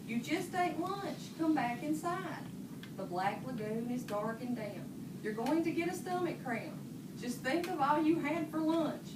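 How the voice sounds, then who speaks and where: Lady reading as a doting nagging storybook mom, high voice, far off in an echoey noisy room. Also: animated, unhurried. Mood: fussy scolding. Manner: fussy, nagging, exclaiming. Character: doting, scolding monster mother in a storybook. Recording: distant, echoey classroom phone recording with heavy noise.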